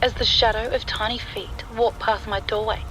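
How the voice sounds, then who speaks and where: Horror narrator over clear storm noise. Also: medium pitch, steady tone, moderate pace, bright, forceful tone, poor audio. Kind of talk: scripted horror story narration in first person. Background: rain and thunder sound effects.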